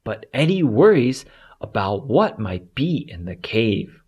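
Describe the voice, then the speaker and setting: A storybook narrator reading aloud for young children. The delivery is slow and very animated, with deliberate pauses. The tone has a husky, breathy grain. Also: medium pitch, highs cut off.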